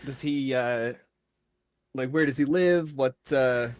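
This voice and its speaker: A man with a medium-pitched voice acts as dungeon master for a tabletop fantasy game. He narrates the world and talks informally with his players. The sound is dry, with hardly any room in it. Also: slow, no echo.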